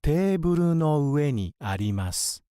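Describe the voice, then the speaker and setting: A man speaking for a language course, enunciating words and short example sentences clearly for learners. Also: fairly high pitch, expressive, normal speed, dark tone. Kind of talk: clear word-by-word pronunciation drill.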